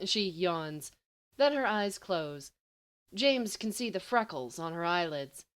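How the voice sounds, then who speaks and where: A woman reading a story aloud as an expressive audiobook narrator. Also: on the low side, takes it slowly, clean tone. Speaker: volunteer audiobook reader.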